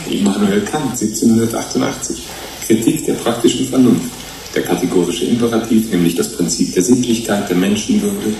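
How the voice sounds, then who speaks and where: An actor playing a thoughtful, skeptical human-fish hybrid in a German radio play, measured, probing and slightly pedantic. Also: male voice, fairly low pitch, natural voice. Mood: firm, lecturing. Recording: roomy sound with faint noise.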